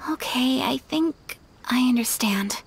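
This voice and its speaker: A woman voicing a gentle, modest young noblewoman in a video game, polite, formal and softly hesitant. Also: sits high, takes it slowly, creaky, noisy background, heavily acted. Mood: flustered. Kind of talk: anime-style game voice acting.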